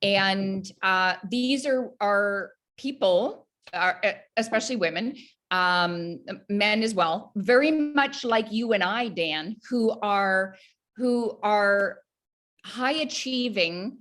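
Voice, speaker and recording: A woman from Canada speaks as a guest on a health interview. She talks earnestly and encouragingly, pausing on fillers and restarting phrases as she explains her coaching work. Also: medium pitch, a bit bright. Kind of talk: casual interview talk.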